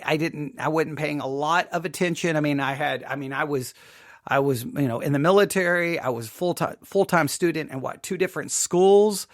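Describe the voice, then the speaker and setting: A middle-aged man giving informal personal commentary as a host, in a candid, halting way, repeating himself as he goes. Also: on the high side, a bit bright, no room sound, studio quality. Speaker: podcast host.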